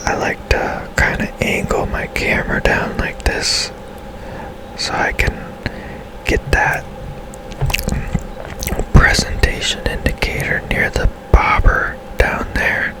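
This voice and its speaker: A man speaking in a breathy, unvoiced whisper, giving casual, unhurried ASMR commentary as he plays a game. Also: low-quality recording. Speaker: gaming ASMR host.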